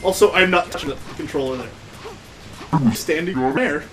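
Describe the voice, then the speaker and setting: A man with a slightly high voice gives casual commentary as he plays a video game. He gripes with amused sarcasm, and game music plays faintly behind him. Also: moderate pace, poor audio. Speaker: let's play video host.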